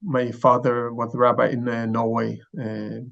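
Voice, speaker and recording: A man in his forties with a gravelly, creaky voice and an Israeli accent gives explanatory interview answers. He speaks haltingly, searching for words, and the audio is slightly dull. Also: mid-range voice, level tone. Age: 40s. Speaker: chief rabbi, interview guest.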